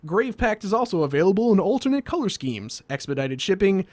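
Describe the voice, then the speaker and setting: A man with a somewhat high voice narrates a scripted comedic explainer as its host. His delivery is wry and sardonic, and the sound is close and dry, with no room on it. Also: sing-song, fairly quick, no echo. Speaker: host of a card game video series.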